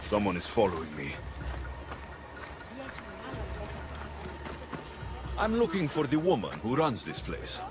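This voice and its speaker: A man's voice: fairly low pitch, wildly swooping pitch, fairly quick, background noise. A man playing a terse, determined Greek mercenary hero in a video game cutscene. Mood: wary.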